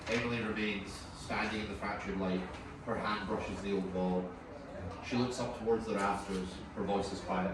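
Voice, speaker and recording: Male narrator for screenplay table read, deep register, distant and noisy recording. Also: steady tone, moderate pace. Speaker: narrator reading stage directions. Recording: distant echoey room, clear background noise.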